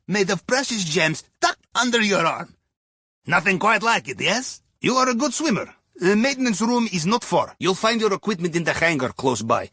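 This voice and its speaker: An actor playing a genial, wry Russian ex-navy submarine captain who guides a heist in a video game, with a Russian accent and a conspiratorial manner. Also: male voice, high-pitched, pushed and bright, breathy edge, no echo, clean studio sound, heavily acted. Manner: wry, conspiratorial, tags statements with questions. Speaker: mission handler. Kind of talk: video game mission dialogue over comms.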